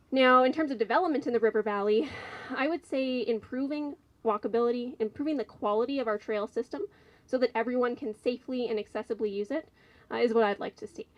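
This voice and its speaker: A woman in her thirties, a council candidate, giving a measured, polished speech with some fillers into a mic in an outdoor tent. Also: a little high, no room sound, dull audio. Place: outdoor tent at a community forum. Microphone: mic on a stand.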